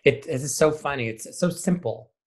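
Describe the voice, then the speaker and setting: A man's voice: a little high, normal speed. A man speaking as an interview guest, a theatre teacher who is candid, casual and emphatic. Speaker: guest, high school theatre teacher and director.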